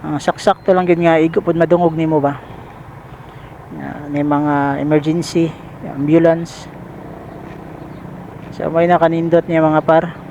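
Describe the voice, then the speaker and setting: High-voiced Bisaya man vlogging over road noise. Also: level tone, measured pace, pauses often, highs cut off. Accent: Filipino, Bisaya. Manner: informal, repeats phrases, addresses viewers as pals. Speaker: motorcycle delivery rider vlogger. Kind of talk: casual vlog chat while riding. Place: outdoors riding a motorcycle in traffic. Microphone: helmet intercom microphone. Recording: helmet intercom with loud traffic noise.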